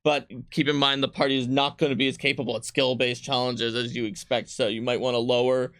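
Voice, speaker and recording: A man's voice: medium pitch, flat delivery, fairly quick, a bit bright, smooth, no room sound, studio quality. A man speaking as a game designer in a casual livestream chat, explaining rules in a rambling, detailed way. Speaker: game designer answering viewer questions on a livestream.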